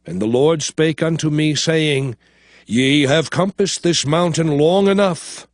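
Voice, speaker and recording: A man voicing Moses, slow and solemn. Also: fairly high pitch, dry recording, close to an everyday voice. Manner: slow, solemn, dramatic recounting. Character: Moses, aged solemn male prophet and leader. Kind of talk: dramatized scripture narration. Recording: studio voice over music. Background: music.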